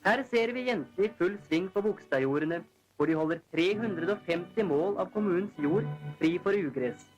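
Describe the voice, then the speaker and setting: A man's voice: high voice, expressive, rough recording. A man narrates a 1940s newsreel. His delivery is formal and declamatory, read from a script. It sounds like a vintage film soundtrack with music faintly behind him. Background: newsreel background music.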